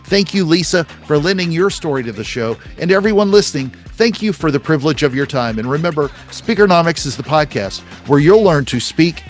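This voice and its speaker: A man's voice: on the high side, animated, fairly quick, hardly any pauses, soft background music. A man hosting a podcast, summing up advice in an upbeat, emphatic way. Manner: upbeat, emphatic, list-like summarizing. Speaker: podcast host. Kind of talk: podcast interview and recap.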